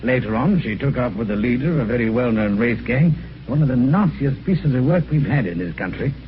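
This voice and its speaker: An actor playing a jovial, teasing British police inspector in a radio mystery, breezy and chatty. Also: male voice, medium pitch, animated, fairly quick, lightly acted. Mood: amused, teasing. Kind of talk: 1950s radio mystery acting. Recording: dull, noisy old radio recording.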